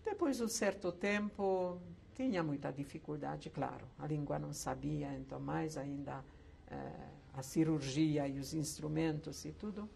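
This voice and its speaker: An older woman from Czechoslovakia, once a camp survivor, telling her life story slowly with hesitant pauses in an oral history interview. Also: low-pitched, creaky, dark tone, slight background noise. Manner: slow reflective storytelling with hesitant fillers.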